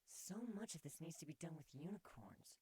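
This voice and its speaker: A man's voice: on the high side, sing-song, moderate pace, mellow, husky, voice pushed up. A man voicing a playful, teasing female pony with blunt wit in a fan fiction reading. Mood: curious.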